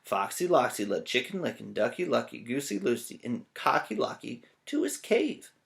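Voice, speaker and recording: A male teacher reads aloud to children. His pitch swings hugely up and down, and he playfully pauses to put questions to his listeners. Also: sing-song, vocal fry. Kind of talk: animated children's story read-aloud.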